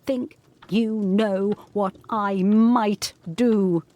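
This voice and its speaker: A woman playing an anxious, probing young governess in a gothic audio drama, earnest, measured and intense. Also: mid-range voice, steady tone, mellow. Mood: obsessive conviction.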